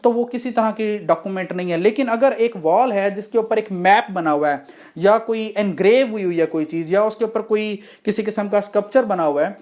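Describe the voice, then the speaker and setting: A woman's voice: mid-range voice, darkish tone. A woman with a Pakistani accent lecturing on law in an explanatory, didactic way, often posing questions and answering them.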